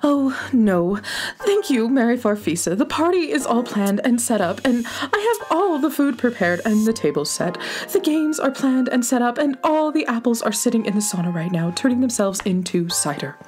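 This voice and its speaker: High-voiced, fretful giant forest girl. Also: very animated, moderate pace, a little mellow, faint music behind. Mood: flustered politeness. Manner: fretful, plaintive. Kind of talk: children's radio play acting.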